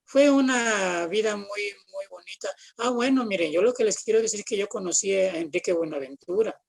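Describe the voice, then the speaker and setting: Mexican man, seventies, chatty storyteller as interview guest, gravelly husky voice. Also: sits high, moderate pace. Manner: chatty storytelling with acted-out quotes. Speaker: guest theatre practitioner. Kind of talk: casual anecdotal interview talk.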